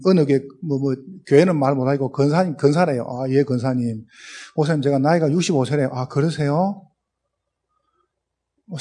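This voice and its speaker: A man's voice: fairly high pitch, mellow, slightly rough. A man pastor from Gyeongsang with a strong Gyeongsang accent, preaching a humorous sermon full of anecdotes and acted-out dialogue. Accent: Gyeongsang Korean. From Gyeongsang, South Korea. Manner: storytelling, acts out dialogue, speeds up and laughs.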